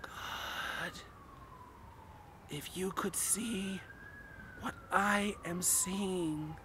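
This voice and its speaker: A doomed man in mortal terror, acted out by a male reader, hoarse and quivering. He is desperate and urgent, as in a horror tale, with audible noise on the amateur recording. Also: high voice, steady tone, extremely slow. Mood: horrified whisper. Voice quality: hoarse, breathy, quivering, often whispering or screaming. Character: doomed adult man, terrified yet self-sacrificing, calling from below. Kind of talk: dramatic horror reading.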